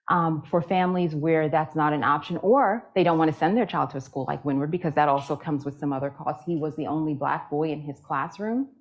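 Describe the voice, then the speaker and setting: A woman with a low, deep voice delivers a keynote in a lecture hall. She speaks earnestly and leans on repetition for emphasis. The recording sounds slightly dull and muffled. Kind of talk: keynote speech with Q&A.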